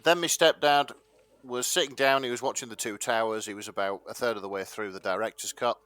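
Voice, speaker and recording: A man with a northern English accent co-hosting a casual podcast, loud and jokey. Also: medium pitch, steady tone, a little fast. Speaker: podcast co-host. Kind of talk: casual banter. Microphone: headset.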